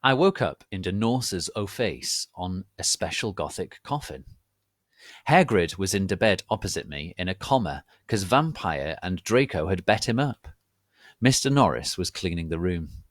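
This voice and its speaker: A man hosting and reading aloud as a dramatic reading, formal, deliberate and gravely theatrical in a mock-solemn way. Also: deep voice, sing-song, no echo, studio quality. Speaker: host and reader.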